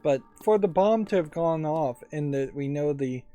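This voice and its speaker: A man's voice: on the high side, lively intonation, moderate pace, dark tone, faint music behind. A man hosting a let's play, giving casual game commentary in a musing, analytical way.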